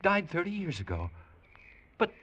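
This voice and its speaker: An actor playing an awestruck, eager spaceship crewman in a vintage radio drama, stammering, with a husky, forceful voice. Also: high-pitched, wildly swooping pitch, moderate pace, highs cut off, heavily acted. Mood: astonished. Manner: eager, stammering when astonished. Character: excitable grown grandson, awestruck spaceship crewman. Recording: old radio recording, faint music.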